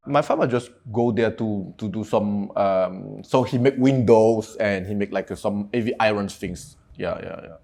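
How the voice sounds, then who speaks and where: A man's voice: lowish voice, normal speed, hardly any pauses, a little mellow. A man in his thirties with a French accent, a native Cantonese and French speaker, talking casually as an interview guest. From French Guiana. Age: 30s. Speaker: podcast guest, entrepreneur.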